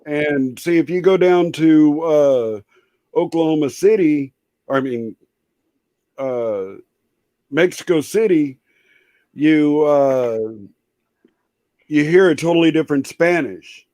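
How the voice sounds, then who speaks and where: Guest chatter, male, unhurried delivery, slightly high voice, dry close sound. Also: no room sound. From Southern California. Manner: rambling, relaxed storyteller. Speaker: guest in a stream chat. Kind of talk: casual storytelling chat.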